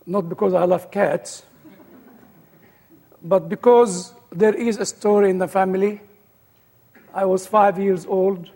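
A man's voice: sits high, fairly even intonation, slow, long pauses, warm tone, in a large hall. A man with an Egyptian-British accent, an older guest speaker giving his personal testimony with dry humor.

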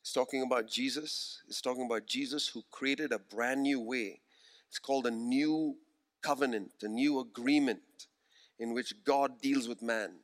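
A man in his forties preaching a sermon, earnest and emphatic, slowing down to repeat key phrases. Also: mid-range voice. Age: 40s.

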